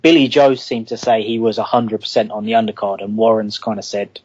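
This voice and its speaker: A man's voice: on the low side, fairly even intonation, brisk, a little mellow, dull audio, a little hiss or hum. A man with a London accent, calling in to give long opinions on boxing. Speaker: caller. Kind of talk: casual boxing chat.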